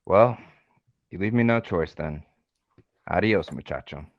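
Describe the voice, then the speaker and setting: A man's voice: deep voice, expressive, unhurried, dry recording, muffled recording. A man playing a sardonic, dramatic western outlaw in an amateur table read. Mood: defiant. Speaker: actor and organiser of a table read.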